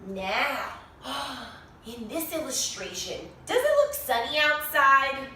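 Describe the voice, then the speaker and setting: A woman reading a picture book to young children in an expressive, sing-song way, pausing to explain things and ask questions. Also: sits high. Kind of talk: animated read-aloud story for young children. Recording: very echoey room, distant mic, faint noise.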